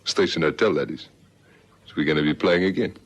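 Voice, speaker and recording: An actor playing a wily, unruffled ex-convict with a smooth, folksy, evasive way of talking in a vintage radio crime drama. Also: male voice, rumbling low, fairly quick. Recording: vintage radio recording, faint noise.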